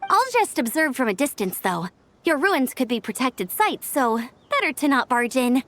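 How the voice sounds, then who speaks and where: High, perky young adventurer. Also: female voice, sing-song, normal speed, fairly bright tone, full character voice. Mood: cheerful. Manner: eager, exclamatory, upbeat. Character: perky, adventurous, friendly young woman scout. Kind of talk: video game cutscene acting.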